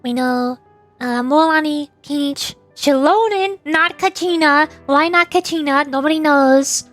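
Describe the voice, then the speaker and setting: A woman's voice: high-pitched, a little slow, fairly bright tone, soft background music. A woman streamer giving chatty, rambling, casual commentary on a game. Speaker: streamer, VTuber.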